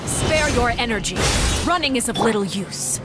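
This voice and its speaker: A woman playing a commanding, cold duelist who heads a house, speaking in a measured, formal, imperious way in a video game. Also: fairly high pitch, lively intonation, loud music behind the voice, close to an everyday voice. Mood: menacing, amused.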